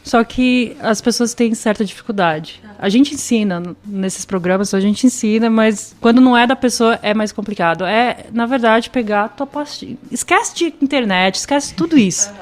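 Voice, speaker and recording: Brazilian woman, young adult, podcast guest, chatty storyteller, slightly high voice. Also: normal speed, hardly any pauses. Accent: Brazilian Portuguese, São Paulo. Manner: chatty, storytelling, acts out quoted dialogue. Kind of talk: casual podcast conversation.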